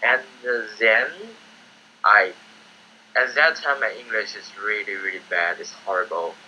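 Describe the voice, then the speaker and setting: Chinese teen guy, english not his first language, low-ish bright voice, slow halting answers, faint hiss. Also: poor audio. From China. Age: teens. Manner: hesitant, simple halting English. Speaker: student applicant. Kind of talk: interview answers.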